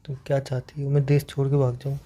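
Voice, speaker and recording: A man's voice: medium pitch, completely flat delivery, quick pace, dark tone. A young man playing a boyfriend on a staged couple phone call, joking and teasing.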